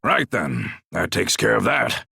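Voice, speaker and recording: An actor playing a brash, jovial warrior in a video game, with a gravelly, raspy voice and a bluff, teasing manner. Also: male voice, lowish voice, big pitch swings, unhurried, pushed and bright, no room sound, clean studio sound, heavily acted. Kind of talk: scripted video game acting.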